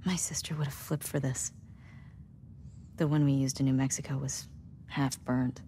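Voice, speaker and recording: A woman's voice: a bass voice, steady tone, moderate pace, dark tone, slight background noise. A young American woman acting a wry survivor companion in a game cutscene, with a casual, conversational way of talking. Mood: easygoing. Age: young adult.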